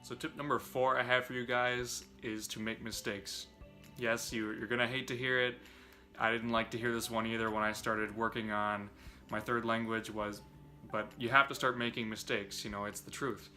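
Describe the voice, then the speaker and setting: A man's voice: medium pitch, level tone, normal speed, bright, forceful tone, faint music behind. A young man earnestly explaining language learning tips straight to his viewers, talking to them as "you guys". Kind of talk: direct-to-camera tips video.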